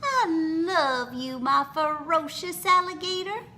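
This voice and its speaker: A woman voicing a tender, reassuring mother in a storybook, speaking in an affectionate, drawn-out sing-song. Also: very high-pitched, expressive, sharp tone, a little hiss or hum, pitched above the performer's own voice, exaggerated voice. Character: doting, tender, reassuring mother of a small child.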